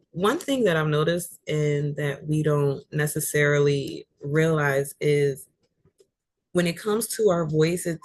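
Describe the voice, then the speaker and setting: A woman with a deep voice, an author chatting as a guest about how she uses her voice, talking thoughtfully with frequent fillers. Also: no room sound. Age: adult. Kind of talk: casual chat about voice and reading.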